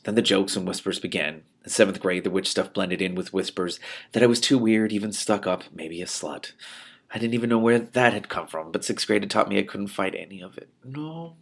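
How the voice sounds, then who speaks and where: Male book reader, low register with heavy vocal fry, expressive delivery, given to off-the-cuff reactions. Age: adult. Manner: animated, reacts aloud to the text and breaks off to comment. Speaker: reader and commentator of a book read-along show. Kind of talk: expressive read-aloud of a novel with spontaneous asides.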